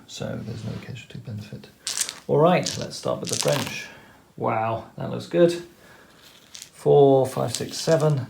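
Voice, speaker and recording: A man's voice: medium pitch, vocal fry, dark tone, echoey room, slight background noise, low-quality recording. A man methodically explaining each step of a tabletop wargame turn, talking through the rules as he plays. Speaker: wargame player explaining turns. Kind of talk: tabletop game commentary.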